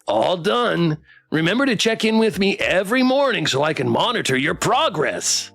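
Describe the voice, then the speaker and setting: A man's voice: sits high, big pitch swings, normal speed, creaky, fairly bright tone, dry recording, soft background music, pitched above the performer's own voice, full character voice. A man voicing a condescending, blunt talking plushie toy in a visual novel game. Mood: officious. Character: snippy, condescending talking plushie toy pet with big eyes. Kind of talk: visual novel character read aloud.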